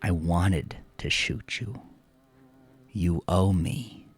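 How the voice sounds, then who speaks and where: A man playing a menacing, world-weary armed enforcer in an audiobook, deliberate and quietly threatening. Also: low-pitched, breathy edge, full character voice. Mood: cold menace. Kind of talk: audiobook character acting.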